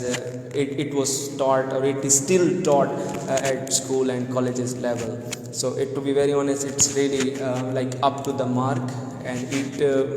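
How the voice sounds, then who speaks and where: A man's voice: mid-range voice, darkish tone, roomy sound, in a large hall, a little hiss or hum, low-quality recording. A man from Pakistan, an English teacher, speaking earnestly in a live session with many "uh" and "like" fillers. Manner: earnest, hesitant, frequent "uh" and "like" fillers. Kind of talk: live video discussion.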